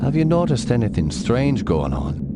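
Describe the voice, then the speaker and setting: An actor playing a stoic war veteran who investigates the supernatural, with terse, serious questions in a game cutscene. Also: male voice, medium pitch, very animated, normal speed, heavy background noise, natural voice. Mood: serious, inquiring. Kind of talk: dramatic game cutscene acting.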